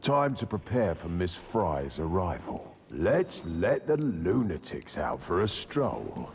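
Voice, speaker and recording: Deep, menacing, deranged killer. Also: male voice, big pitch swings, slow, slight background noise, full character voice. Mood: brooding, menacing. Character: deranged, vengeful male serial killer and rogue assassin. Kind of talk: dramatic video game acting.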